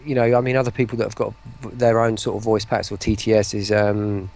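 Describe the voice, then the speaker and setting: Gravelly-voiced man in rambling casual chat. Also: fairly low pitch, flat delivery, a little fast, darkish tone. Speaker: voice pack creator and interview guest.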